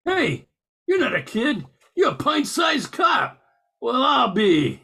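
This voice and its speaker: An older man playing an impatient, gravelly crook disguised as Santa in an amateur radio play. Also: very high voice, huge pitch swings, takes it slowly, pushed and bright, raised voice, heavily acted. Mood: flustered.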